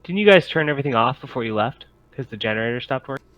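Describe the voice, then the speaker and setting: A man running a tabletop game as game master, explaining things casually in halting, hesitant phrases. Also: mid-range voice, lively intonation, no room sound. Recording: slightly dull lo-fi microphone.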